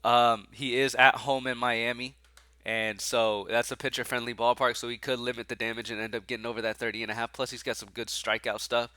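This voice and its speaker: A man with a stuffy, nasal voice from allergies, hosting casual sports betting picks, excitable and slangy. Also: mid-range voice, fairly quick, smooth, no room sound.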